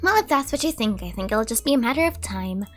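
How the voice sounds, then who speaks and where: A woman's voice: a little high, lively intonation, fast talker, a bit bright, faint music behind. A woman who is sick with a cold, giving casual commentary as she plays a game. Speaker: streamer, gameplay commentator.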